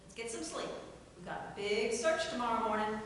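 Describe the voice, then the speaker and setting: A woman's voice: fairly high pitch, fairly quick, a little hiss or hum, natural voice. A woman reading the part of a stern but teasing grandmother in a table reading, firm and scolding. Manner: firm and scolding, with playful laughs. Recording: echoey hall, far from the mic.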